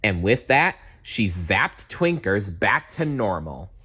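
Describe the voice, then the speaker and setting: A man's voice: lowish voice, sing-song, slow pace, pushed and bright, husky. A man reading a children's storybook aloud as narrator. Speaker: storybook reader.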